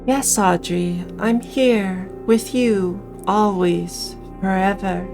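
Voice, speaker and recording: A woman's voice: mid-range voice, fairly even intonation, slow. Woman playing soothing, deceitful witch doppelganger over music. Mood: tender reassurance. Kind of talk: visual novel character acting. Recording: music behind voice.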